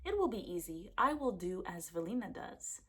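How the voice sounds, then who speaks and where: A woman's voice: medium pitch, sing-song, moderate pace, slightly rough. A woman voicing a confident, eager little village boy in a children's folktale.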